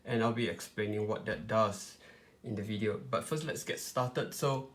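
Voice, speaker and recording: Man giving a halting, informal demonstration. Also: on the low side, a bit bright. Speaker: tutorial presenter. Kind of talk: informal step-by-step product demonstration.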